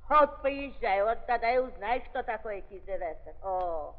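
An actress playing an imperious old countess, a blunt and exclamatory aristocratic aunt, in the theatrical style of 1930s Russian radio drama. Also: female voice, medium pitch, expressive, moderate pace, natural voice. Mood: insistent, persuasive. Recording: muffled 1930s recording, long hall reverb.

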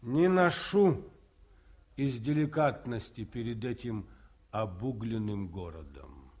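A formal retired colonel. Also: male voice, medium pitch, lively intonation, takes it slowly, darkish tone, slightly rough, full character voice. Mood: courtly. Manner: ornate, old-fashioned formal speech. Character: retired male guards colonel, formal and sentimental. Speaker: radio drama actor. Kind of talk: 1950s radio play acting.

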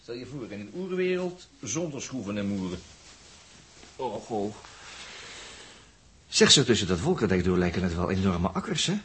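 A man's voice: lowish voice, big pitch swings, normal speed, pauses often, a bit bright, heavily acted. An actor playing a down-to-earth, wry spaceship crewman with a Netherlands Dutch accent, in colloquial, grumbling 1960s radio drama style. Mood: busy, grumbling. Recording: husky-sounding old 1960s radio recording.

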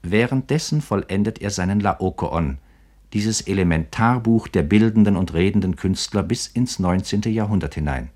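A man's voice: deep voice, normal speed, darkish tone. A man narrating scripted literary commentary for a German radio feature, with emphatic, literary phrasing.

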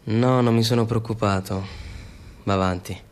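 A man's voice: fairly low pitch, fairly even intonation, normal speed, slight background noise, close to an everyday voice. An actor playing a blunt, guarded male escort in a radio drama, with a terse, matter-of-fact delivery. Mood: humouring. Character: blunt, guarded male escort humouring his talkative client. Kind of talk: naturalistic radio drama dialogue.

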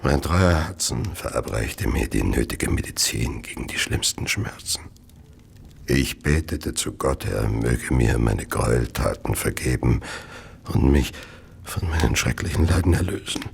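An actor playing an ailing, remorseful murderer in a radio drama, confessing wearily in a gravelly, whispery voice. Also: male voice, rumbling low, expressive, heavily acted. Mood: suffering. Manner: slow, weary, tormented confession.

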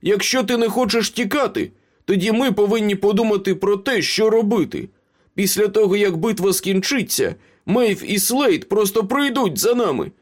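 A man's voice: high voice, normal speed, voice pushed up. A man voicing a wounded, cowardly young male traitor in a storybook, pleading and protesting breathlessly. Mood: urgent persuading. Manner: frantic, gasping pleas and protests.

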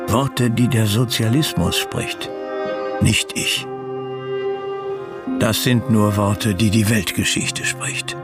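A man playing a brooding, guilt-haunted schemer in a radio drama, speaking in halting, solemn fragments over music. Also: lowish voice. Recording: music bed under the voice.

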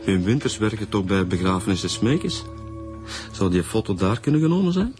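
An actor playing a junior male detective in a Flemish radio serial. His voice sits low, his accent is Flemish and his delivery is casual and slightly hesitant, over clear background music. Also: lively intonation, moderate pace, natural voice. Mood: hesitant, intrigued. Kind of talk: naturalistic radio drama acting.

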